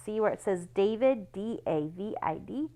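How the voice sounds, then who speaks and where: A woman reading a story aloud to children in a deliberate, explanatory way, pausing to guide young listeners along. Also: mid-range voice, lively intonation, dark tone. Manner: deliberate, explanatory, spells out words and numbers. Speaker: children's Bible school read-aloud reader. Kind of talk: read-aloud storytelling for children with teaching asides.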